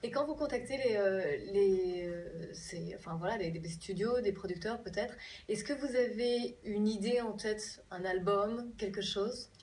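A woman's voice: medium pitch, a little slow, darkish tone. A woman, interviewing a guest on a live morning TV show. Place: TV news studio, replayed from a television. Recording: distant, captured off a TV screen.

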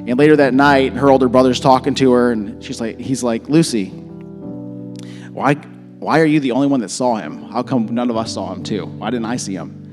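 A slightly hoarse man in his thirties speaks into a handheld mic on a church stage, his mid-pitched voice carrying a long reverb from the hall. He preaches and prays in a relaxed, rambling way, with soft music playing behind him. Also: brisk. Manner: relaxed, rambling with many fillers and restarts. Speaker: pastor. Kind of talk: informal sermon and prayer. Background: soft worship music.

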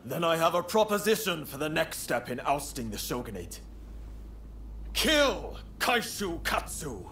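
Intent samurai plotter, animated pitch. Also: male voice, unhurried, full character voice. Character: zealous, calculating male samurai plotter. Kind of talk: dramatic video game voice acting. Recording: clear ambient noise behind.

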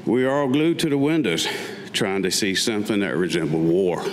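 A man's voice: fairly low pitch, sing-song, normal speed. An older man, around seventy, reading his own written personal story aloud at a staged reading, with wry asides. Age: older, about 70. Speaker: Vietnam veteran reading his own piece. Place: public meeting room with audience, indoors. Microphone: gooseneck lectern microphone. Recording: reverberant hall, faint background noise.

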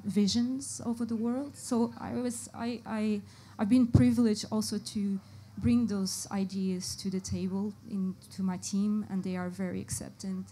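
A woman's voice: medium pitch, mellow, slight background noise. A woman from Portugal with a Portuguese accent, speaking on a live design panel, hesitant with many fillers as she searches for words. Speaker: panelist, brand art director. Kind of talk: panel discussion. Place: live panel event before an audience.

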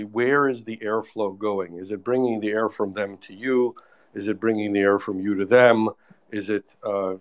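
A man with a fairly low voice speaks on a live online audio panel. He explains in a careful, measured way, often pausing on fillers and restarting sentences as he works through a point. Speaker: expert panelist. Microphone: remote online audio call.

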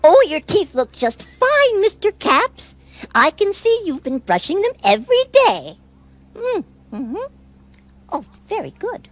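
A woman's voice: extremely high pitch, sing-song, unhurried, no room sound, exaggerated voice. A woman playing a cheerful, encouraging dentist in a children's puppet show. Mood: cheerful, approving. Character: cheerful, chirpy woman dentist praising a patient.

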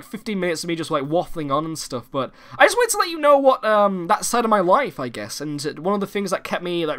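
A young man from the United Kingdom, hoarse and croaky from a cold, hosting a casual gaming-channel vlog and rambling with many fillers and restarts. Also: sits high, a bit bright. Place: home recording room.

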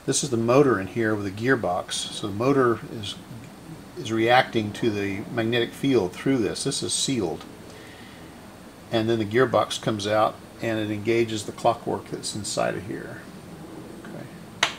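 Male repair host, low voice, halting unscripted workbench explanations, some room noise behind him. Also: steady tone. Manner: halting, thinking aloud while working. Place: indoor cluttered electronics workbench.

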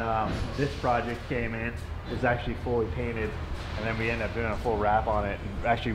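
A man in his thirties talking casually in a laid-back, slangy way, like a shop vlog host. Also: fairly low pitch. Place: in and around an auto shop and yard. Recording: music bed behind the voice.

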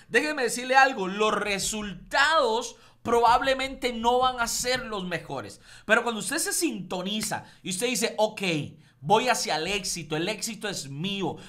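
A man with a Costa Rican accent leads a trading class with upbeat, emphatic energy. His voice is bright and forward, and he often repeats words for emphasis. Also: sits high. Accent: Costa Rican Spanish. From Costa Rica. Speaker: trading instructor. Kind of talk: motivational class talk.

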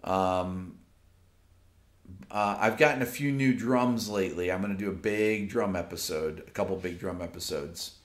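A man in his fifties hosting a casual late-night stream from his home music studio, reading viewer questions off his phone in a relaxed, rambling way with lots of fillers. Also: low-pitched, moderate pace. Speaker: YouTube music channel host. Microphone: off-camera mic, seated at desk with phone. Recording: roomy studio echo.

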